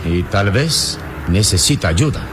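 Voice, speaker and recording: A man acting a calm, gallant adventurer hero in an old Latin American radio serial, speaking deliberately and theatrically. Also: fairly low pitch. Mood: assured, grave. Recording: noisy radio drama with engine drone.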